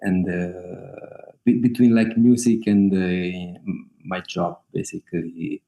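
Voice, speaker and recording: A man from Italy with a clear Italian accent speaks on a video call in a low, mellow voice. His talk is halting, thick with fillers, and broken by small laughs. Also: expressive. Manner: hesitant, many fillers, laughs often. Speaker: guest singer-songwriter. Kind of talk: casual interview over video call.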